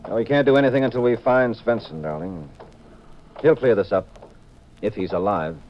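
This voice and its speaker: An actor playing a cool, determined detective husband in a 1950s radio mystery, dry and unruffled, on a dull old recording. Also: male voice, medium pitch, expressive, normal speed, noisy background, lightly acted. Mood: determined, brisk. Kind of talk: 1950s radio drama acting.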